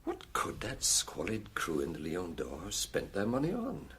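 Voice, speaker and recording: An actor with a British accent playing a seasoned, gruff middle-aged police chief in a radio drama, measured, ruminative and commanding, with a husky voice. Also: male voice, deep voice, huge pitch swings, a bit bright, slight background noise, heavily acted. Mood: musing.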